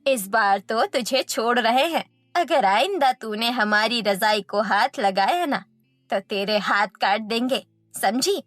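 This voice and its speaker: Woman voicing a high-pitched scornful, greedy in-law. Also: measured pace, a bit bright, no echo, soft background music. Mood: threatening. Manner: scornful, taunting. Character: greedy, cruel, scornful mother-in-law and her daughter. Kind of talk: Hindi cartoon story dialogue.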